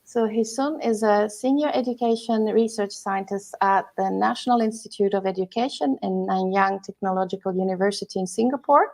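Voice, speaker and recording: A woman co-hosting a live online talk, reading a guest's introduction and posing questions. Her voice is mid-pitched and her delivery is measured, heard over a video call. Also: darkish tone. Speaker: co-host.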